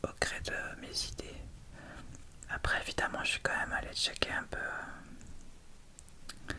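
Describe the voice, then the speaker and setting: A woman whispering in a breathy voice, chatting casually and a little hesitantly as she gives an ASMR makeup tutorial step by step. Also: moderate pace, bright, forceful tone. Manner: hesitant, improvised step-by-step commentary. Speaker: ASMR video creator.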